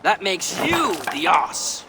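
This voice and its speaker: Brash, animated young prince. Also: male voice, sits high, slow, vocal fry, raised voice, a crowd in the background, background noise, full character voice. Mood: scornful, defiant. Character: Siegfried, brash, scornful young Viking prince in Dublin. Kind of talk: video game dramatic dialogue.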